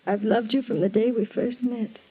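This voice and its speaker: An actress playing a sweet, devoted young woman in love, soft and breathy, in a 1940s radio melodrama. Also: a little high, fairly even intonation, normal speed. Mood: anguished confession. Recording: dull, lo-fi 1940s radio recording.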